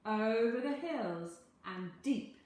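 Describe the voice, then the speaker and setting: A woman reading a children's story aloud in a dramatic, expressive manner. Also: fairly high pitch, slow. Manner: dramatic, animated. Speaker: storyteller, teacher. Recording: very echoey room.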